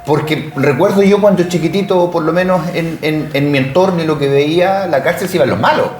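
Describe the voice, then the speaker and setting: A Chilean man co-hosting an interview in a conversational way, haltingly repeating words as he forms questions. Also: on the high side, a little mellow, soft background music. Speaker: TV interview co-host. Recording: roomy echo, faint background noise.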